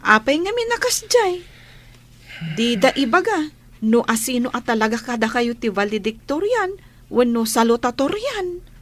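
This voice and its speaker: High-voiced excitable Ilocano schoolgirl. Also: moderate pace, a little hiss or hum. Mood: teasing, assured. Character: excitable, supportive teenage girl classmate. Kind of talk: radio drama acting.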